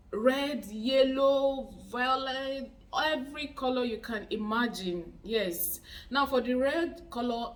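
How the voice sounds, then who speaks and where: A woman's voice: a little high, distant mic, poor audio. A Nigerian woman hosting a casual vlog, chatty and playful, saying most phrases twice. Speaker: YouTube channel host. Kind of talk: casual spiritual how-to vlog.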